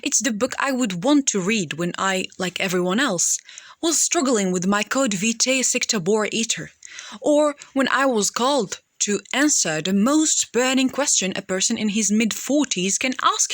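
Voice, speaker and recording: A woman's voice: fairly high pitch, lively intonation, moderate pace, a bit bright, no room sound, studio quality. A woman reading scripted audiobook narration.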